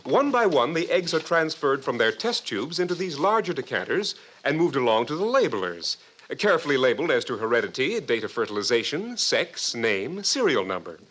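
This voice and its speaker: A man's voice: medium pitch, sing-song, a little fast, a bit bright. A man playing an eager, deferential guide with formal, precise delivery in a 1950s radio drama. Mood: proud, instructive. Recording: noisy vintage radio recording.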